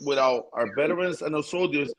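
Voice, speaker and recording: A man's voice: fairly high pitch, fairly quick, slight background noise, poor audio. A man from Rico, a guest speaking passionately and repeating words for emphasis in casual panel talk. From Puerto Rico. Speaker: guest, boxer.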